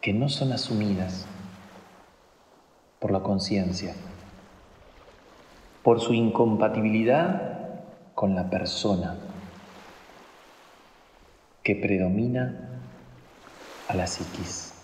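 A man narrating a guided meditation in phrase by phrase, solemn and deliberate, with long pauses. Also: fairly low pitch, a little mellow. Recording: cavernous long reverb with some background noise.

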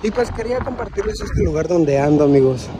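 A man's voice: fairly high pitch, sing-song, brisk. A young Guatemalan man with a Guatemalan Spanish accent, walking and filming a casual vlog on his phone, talking earnestly and ramblingly while calling his viewers "amigos" over and over. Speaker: vlogger. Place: sandy beach outdoors on a windy day. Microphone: phone held while walking. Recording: loud wind noise on phone mic.

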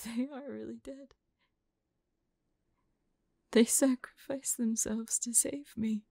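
A woman playing a vulnerable, devoted woman in an intimate audio roleplay, halting and often close to a whisper. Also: fairly high pitch, fairly even intonation, dry recording, full character voice. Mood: grieving, stunned. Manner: soft, breathy, halting, often near whisper.

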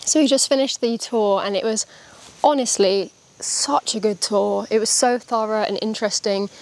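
A woman's voice: medium pitch, steady tone, normal speed, a little hiss or hum. A young woman, chatting enthusiastically to camera in a travel vlog. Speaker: travel vlogger. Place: outdoors in a hilltop tropical garden. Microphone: handheld mic.

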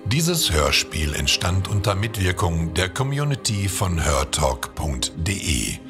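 A very deep-voiced man announces scripted credits. His delivery is slow and gravelly, over very loud music. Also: sing-song.

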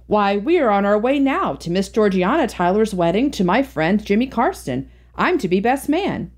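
A woman's voice: medium pitch, big pitch swings, normal speed. A woman voicing a polite, reserved young man.

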